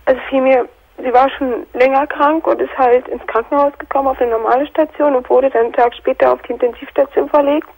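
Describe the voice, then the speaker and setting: A woman in her twenties calls in over the telephone. Her voice is a bit high and hoarse from a cold. She tells her story in a plain, matter-of-fact way. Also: fairly even intonation, brisk, a little mellow, low-quality recording. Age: 20s. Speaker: caller.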